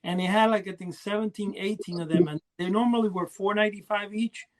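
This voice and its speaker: A man's voice: high voice, steady tone, fairly quick, low-quality recording. A man chatting casually as a podcast co-host, rambling and often losing his thread. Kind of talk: casual chat about collecting.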